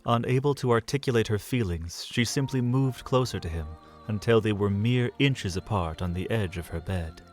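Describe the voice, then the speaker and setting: A man narrating a romantic fan audio drama, measured and soft. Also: fairly low pitch, faint music behind.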